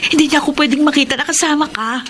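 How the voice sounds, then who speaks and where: Actress doing high pitched young student girl, snippy and scolding, radio soap, noisy background. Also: very fast, natural voice. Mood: flustered. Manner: impatient, scolding. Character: young city student daughter, ashamed of her poor father. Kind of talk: Tagalog radio drama acting.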